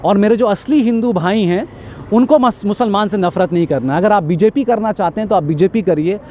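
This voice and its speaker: A man's voice: high voice, a little fast, darkish tone, muffled recording, slight background noise. A man giving an impassioned street interview, talking emphatically in long repetitive lists and stammering when agitated.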